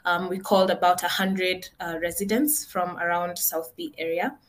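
A woman, a podcast guest telling a story conversationally, animated, with frequent small restarts. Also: on the low side, normal speed. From Kenya. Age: 20s.

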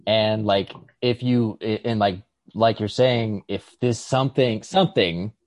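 A man co-hosting a podcast, casually discussing writing in a halting, tentative way, circling toward each point. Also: on the low side, dry recording. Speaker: podcast co-host.

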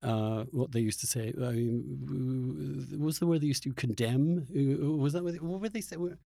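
A man's voice: mid-range voice, moderate pace, creaky, warm tone, no echo. A male podcast host talks through a thoughtful interview in a mid-range voice, stammering on word starts as he searches for the right term. The sound is dry and close, with very little room to it. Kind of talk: reflective conversational interview.

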